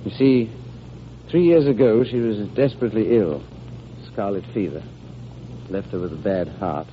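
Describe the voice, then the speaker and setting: A low, tender male voice of an actor as a grieving, devoted father in a golden-age radio play. He speaks earnestly, with halting pauses. The old recording is muffled and clearly hissy. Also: lightly acted. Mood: grave, halting. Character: devoted, sorrowful father shielding a dying child with fairy tales. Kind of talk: 1930s-40s American radio drama acting.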